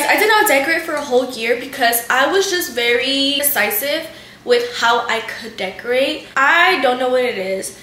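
A woman's voice: on the high side, pushed and bright, reverberant, a little hiss or hum. A young woman in her twenties chatting casually to her camera at home, venting and swearing a lot. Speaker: lifestyle vlogger. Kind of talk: casual storytime vlog. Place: bright living room and kitchen at home.

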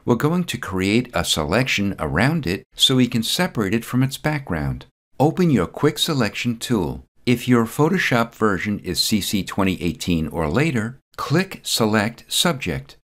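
Man, tutorial voice-over, lowish voice, animated pitch, methodical. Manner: calm, methodical, precise. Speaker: software tutorial narrator. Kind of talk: scripted step-by-step voice-over instruction.

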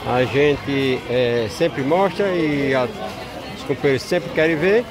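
A man with a Northeastern Brazilian accent narrating a relaxed walking tour of a street market, chatting with vendors. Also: medium pitch. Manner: chatty, greets vendors warmly, relaxed unhurried commentary. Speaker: vlogger touring a street market. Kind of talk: casual walking vlog narration. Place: busy outdoor street market. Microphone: handheld camera mic while walking. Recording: crowded outdoor street market. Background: market crowd chatter.

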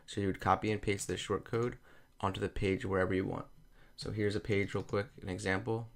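A man talking through a software tutorial over a screen recording, explaining each step plainly. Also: fairly low pitch, flat delivery, fairly quick, a little hiss or hum. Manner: plain step-by-step explaining, repeats "you're gonna".

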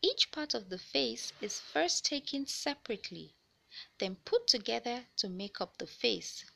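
Lady reading a kids science book out loud, slow and deliberate, bright voice, expressive storyteller style. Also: on the high side, creaky. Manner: deliberate, questioning storyteller delivery for young listeners. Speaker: read-aloud narrator of children's books. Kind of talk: expressive read-aloud of a children's science picture book.

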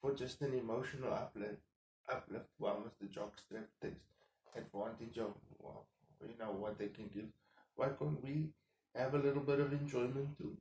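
Soft-spoken man rambling in an echoey kitchen. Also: medium pitch, steady tone, normal speed, a little hiss or hum. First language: Afrikaans. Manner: subdued, keeps voice down so as not to wake the household; rambling, thinking out loud with frequent fillers. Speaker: vlogger. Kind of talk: casual monologue to camera. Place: home kitchen, indoors.

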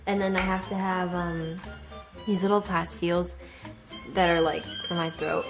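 A teenage singer chatting casually on a live stream, giggly and talkative, with lots of "like". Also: female voice, fairly low pitch, level tone, normal speed, music in the background. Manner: giggly, talkative, says 'like' often. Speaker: singer hosting a live show. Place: indoor studio room with piano and guitar.

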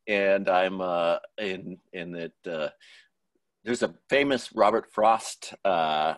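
A man in a casual, reflective discussion on a video call, halting and thoughtful as he searches for words. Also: low voice, no room sound. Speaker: writing teacher and author, audience member giving feedback. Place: indoors, online video call. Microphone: video call microphone.

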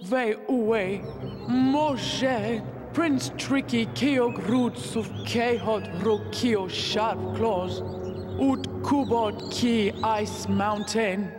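A woman's voice: on the high side, drawn-out words. A woman voicing a weary dinosaur queen who speaks an invented tongue in a laboured way in a video game. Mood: pleading. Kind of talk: video game cutscene dialogue. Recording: long reverb, music behind the voice.

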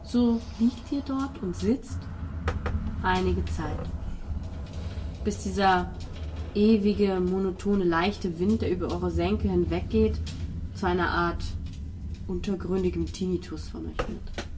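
Woman game master over clear background noise. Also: on the low side, takes it slowly, long pauses. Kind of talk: narrating a tabletop horror sci-fi roleplay. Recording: noisy tabletop session recording.

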